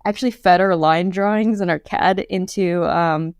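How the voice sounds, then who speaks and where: A young adult woman as a guest on a podcast interview, talking casually with lots of fillers and quick laughs. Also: lowish voice, fairly even intonation, clear, resonant tone, no echo. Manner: chatty, many fillers, laughs often. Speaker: guest, quantum hardware startup.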